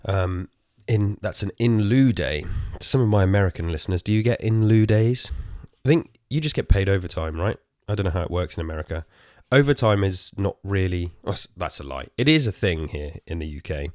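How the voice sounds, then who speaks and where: Casting: weary English podcaster, very low voice, hoarse, rambling and self-mocking, dry close sound. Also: male voice, lively intonation, a little fast, a little mellow, no room sound. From England. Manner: rambling, self-deprecating, swears casually. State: tired and hoarse. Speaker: podcast host. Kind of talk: casual solo podcast chat.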